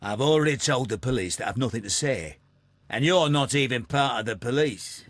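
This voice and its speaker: A man's voice: medium pitch, lively intonation, moderate pace, a bit bright, no room sound, lightly acted. An actor playing a surly, defiant male suspect in a video game, curt and uncooperative. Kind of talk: scripted video game dialogue.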